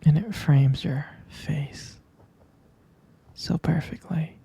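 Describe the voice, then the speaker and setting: A man playing a tender, adoring boyfriend in an intimate romantic ASMR roleplay, whispering softly, gently and hesitantly. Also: fairly high pitch, steady tone, takes it slowly, exaggerated voice. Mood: tender, sincere. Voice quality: soft, breathy whisper with gravelly creak.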